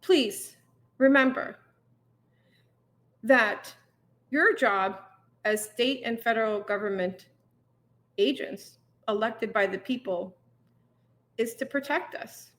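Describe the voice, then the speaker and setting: A woman's voice: fairly high pitch, takes it slowly, pauses often, fairly bright tone. A woman physician giving advocacy testimony in articulate, formal, emphatic phrasing. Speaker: physician, anesthesiologist.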